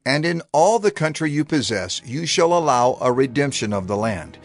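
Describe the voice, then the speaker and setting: A man reading scripture aloud in a measured, declamatory manner. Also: medium pitch, sing-song. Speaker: scripture reader. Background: music.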